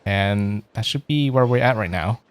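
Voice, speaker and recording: A man's voice: on the low side, normal speed, a little hiss or hum. A man hosting a casual video game let's play, chatting in a rambling way with fillers and small chuckles. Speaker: virtual streamer playing a video game.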